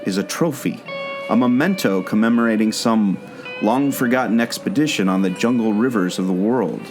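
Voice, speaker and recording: A man's voice: fairly low pitch, moderate pace, darkish tone. A man in a scripted audio play, earnestly explaining a mystery like a storyteller leading his listener along. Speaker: podcast co-host, former theme park skipper. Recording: guitar music behind the voice. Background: plucked guitar music.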